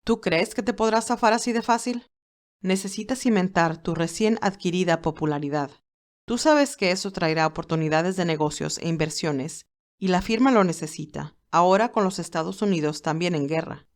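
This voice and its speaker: A woman voicing a loyal, formal male business aide in an audio story, measured and advising. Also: fairly low pitch, lightly acted. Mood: teasing, advising.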